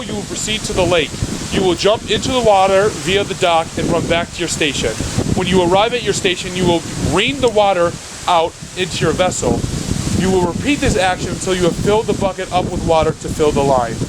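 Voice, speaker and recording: Young man reading rules in loud wind. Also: high-pitched, brisk. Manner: steady, instructional. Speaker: host. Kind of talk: announcing competition rules. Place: outdoors by a lake. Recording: loud wind noise outdoors.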